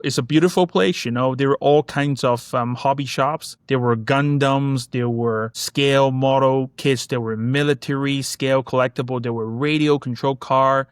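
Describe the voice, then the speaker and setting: Smooth-voiced man. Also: mid-range voice, no echo. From Hong Kong. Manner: reminiscing, many fillers and "you know, like". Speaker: guest, collectibles company executive. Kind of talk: casual interview storytelling.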